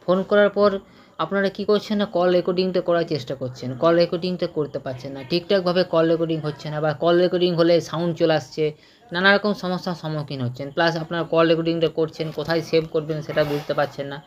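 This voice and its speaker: Male tutorial presenter, Indian Bengali accent, extremely quick delivery, unscripted, repetitive and stumbling. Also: on the high side, fairly even intonation, lo-fi sound. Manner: repetitive, stumbling, with frequent tag questions. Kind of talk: informal unscripted screen-walkthrough tutorial.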